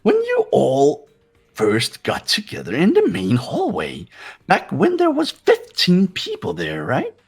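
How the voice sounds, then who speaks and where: A man's voice: sits high, wildly swooping pitch, slow, husky, faint music behind, pitched above the performer's own voice, full character voice. A man voicing a gleefully menacing, mocking robot bear headmaster in a fan-dubbed game, theatrical and teasing in sing-song swoops. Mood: smug, teasing. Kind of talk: fan voice acting of a visual novel game.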